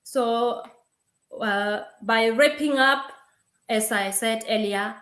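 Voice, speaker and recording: A young woman from Lesotho whose first language is Sesotho, giving a halting webinar presentation over a video call, with many fillers and repeated words. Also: on the high side, fairly bright tone. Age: young adult. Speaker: webinar speaker. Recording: roomy video call audio.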